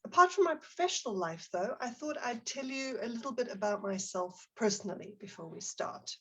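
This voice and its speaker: A woman's voice: fairly high pitch, animated, fairly quick, husky, rough recording. A woman with a South African accent presents a live online teaching webinar, prompting her audience and reading out their chat replies in a friendly way. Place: home office near Barcelona.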